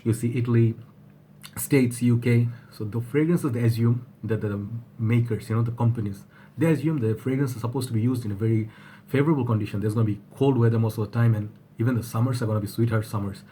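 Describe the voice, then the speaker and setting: A man with a flu-husky voice, talking casually to his viewers and often rambling and restarting. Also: mid-range voice, fast talker, dark tone, slight background noise. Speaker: fragrance reviewer. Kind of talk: casual vlog talk to camera.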